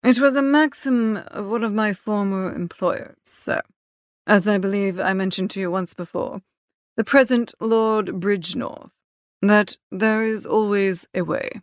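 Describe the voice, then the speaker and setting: A woman reading the part of a polished, deferential gentleman's manservant in a formal, measured manner for an audiobook. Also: mid-range voice, no room sound, clean studio sound, natural voice. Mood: measured, composed.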